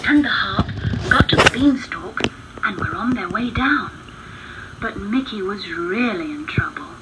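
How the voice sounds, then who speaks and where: A woman narrating a children's read-along storybook with deliberate, expressive phrasing. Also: on the high side, noisy background.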